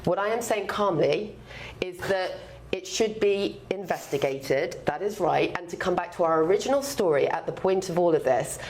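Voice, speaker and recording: A woman arguing heatedly as a panelist in a studio debate, loud and impassioned. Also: on the low side, moderate pace, a little hiss or hum.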